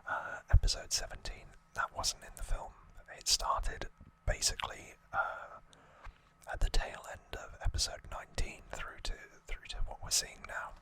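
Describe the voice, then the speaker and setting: ASMR host, fully whispered, breathy and bright, explaining things in a hushed conversational way. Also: moderate pace, low-quality recording. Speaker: ASMR host recapping an anime series.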